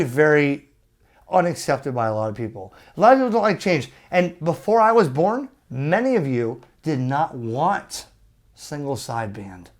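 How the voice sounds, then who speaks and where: A man hosts an opinionated hobby video with a very animated, swinging pitch. His pace is slow and deliberate, pausing for weight on key words. He argues emphatically, often posing questions to the listener. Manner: emphatic, with rhetorical questions and pauses for effect; exasperated, argumentative. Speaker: amateur radio YouTube host. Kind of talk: opinionated rant to camera.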